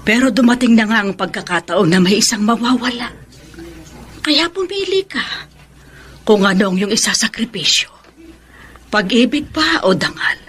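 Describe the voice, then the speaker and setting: An actress playing a grave, counselling middle-aged Filipino mother, measured and admonishing, in a radio drama. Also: fairly high pitch, animated, fairly bright tone, a little hiss or hum.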